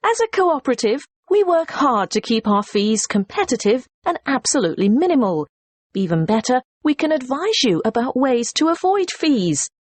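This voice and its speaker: A helpful corporate employee, played by a woman with a slightly high voice, delivers a scripted customer information message. The intonation swings in a lively way, with clear, persuasive phrasing. The sound is close and dry. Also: moderate pace, no echo. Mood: upbeat, reassuring. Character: helpful female investment society employee promoting savings services. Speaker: information line announcer for an investment society.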